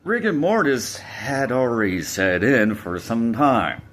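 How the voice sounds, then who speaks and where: A man's voice: medium pitch, lively intonation, slow pace, a bit bright. A man casually telling a personal story, hesitant, with fillers and restarts.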